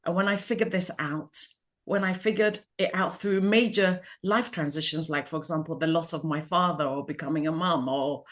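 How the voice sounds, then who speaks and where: A middle-aged woman from Ghana, speaking calmly and thoughtfully about her life in an interview. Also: sits low. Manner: calm, measured, thoughtful. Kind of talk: reflective personal interview.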